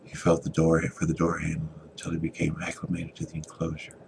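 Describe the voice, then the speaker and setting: Really deep voiced guy doing a theatrical story reading, hushed then forceful, noisy recording. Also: monotone, a little fast. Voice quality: very deep, gravelly. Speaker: author reading a short story.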